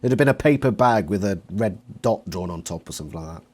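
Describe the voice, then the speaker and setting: A man's voice: sits low, expressive, fast talker, a little mellow. A British man in his late twenties chatting casually and with opinions as an interviewer. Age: late 20s. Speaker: interviewer, longtime fan.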